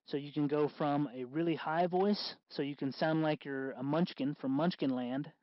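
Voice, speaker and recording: Male tutorial host, slightly high voice, halting folksy explainer, informal, dull lo-fi sound. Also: steady tone. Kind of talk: informal hands-on electronics tutorial.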